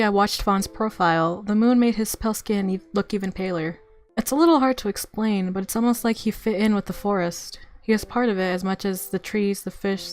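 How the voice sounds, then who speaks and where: Expressive woman narrating a visual novel. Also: mid-range voice. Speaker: game streamer reading a visual novel. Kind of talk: expressive story narration with casual asides.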